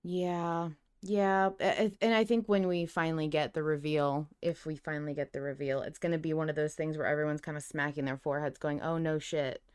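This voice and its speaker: A woman co-hosting a fan discussion podcast, chatting casually in an emphatic, expressive, sweary way. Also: sits low, a little fast, smooth. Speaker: podcast co-host.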